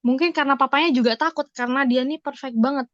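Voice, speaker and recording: High-pitched woman, dry slightly dull sound. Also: fairly even intonation, quick pace, a bit bright, no echo. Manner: questioning, mixes Indonesian with English phrases. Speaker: podcast co-host asking questions. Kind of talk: casual podcast chat.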